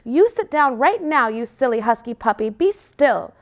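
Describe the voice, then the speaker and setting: A woman voicing an anxious, bossy little female husky puppy in a children's story. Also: high voice, animated, takes it slowly, pitched above the performer's own voice. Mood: scolding. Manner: fretful, scolding.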